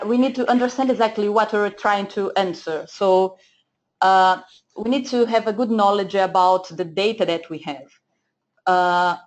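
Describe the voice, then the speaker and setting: A woman with a Portuguese accent giving a lesson over shared slides, speaking hesitantly with many fillers and restarts. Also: mid-range voice. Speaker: data analytics trainer. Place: screen-share presentation, voice off camera.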